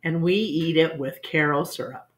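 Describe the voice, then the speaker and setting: A woman reading a children's book aloud as a storyteller in an expressive read-along style. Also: low-pitched, moderate pace.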